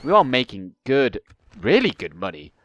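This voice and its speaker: A man's voice: medium pitch, wildly swooping pitch, moderate pace, fairly bright tone, dry recording, muffled recording. A young man with a cold and a bunged-up nose, chatting casually as he plays a strategy game and rambling with frequent self-corrections. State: congested with a cold. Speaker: gaming video host.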